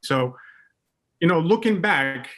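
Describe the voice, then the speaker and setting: A man's voice: on the high side, animated, takes it slowly, fairly bright tone. A man in his thirties from Morocco, speaking as a guest on a panel webcast, with many fillers and restarts. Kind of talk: casual panel chat.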